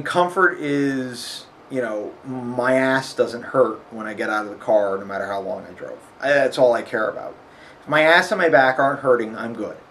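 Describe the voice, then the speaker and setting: A man's voice: mid-range voice, fairly bright tone, slight background noise. A man with a sniffly nose, chatting casually and rambling about a road trip, full of fillers. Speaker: vlogger. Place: hotel.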